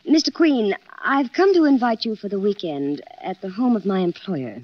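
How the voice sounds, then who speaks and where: An actress playing a coy, alluring secretary in a 1940s radio drama, poised and politely cutting. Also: female voice, medium pitch, expressive, normal speed, close to an everyday voice. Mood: poised, arch. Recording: muffled, dull 1940s radio recording.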